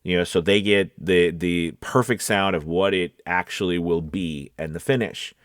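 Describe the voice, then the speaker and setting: Male voice-over coach, lower register, very dry recording; informal advice delivery that leans on key words and checks in with the listener. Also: fairly even intonation, normal speed, no room sound. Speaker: voice-over coach and casting director. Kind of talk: casual direct-to-viewer advice talk.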